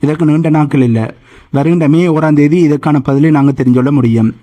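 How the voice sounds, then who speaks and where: A man's voice: fairly high pitch, quick pace, mellow. A man hosting a news explainer, delivering scripted commentary in an emphatic, explanatory way. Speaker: host of a news explainer channel.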